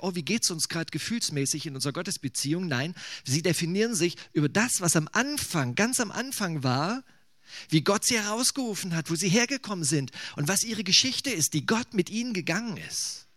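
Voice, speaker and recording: Chatty preacher, higher-pitched man. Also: a bit bright, no room sound, studio quality. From Thuringia, eastern Germany. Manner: conversational, musing, with fillers like "ne?". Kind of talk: sermon.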